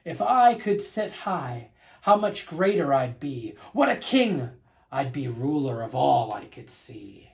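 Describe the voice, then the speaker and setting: Storybook turtle king, played by a male reader: pompous, bellowing tyrant, boastful and unhurried in delivery. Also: medium pitch, sing-song, vocal fry, full character voice. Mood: grumbling, ambitious.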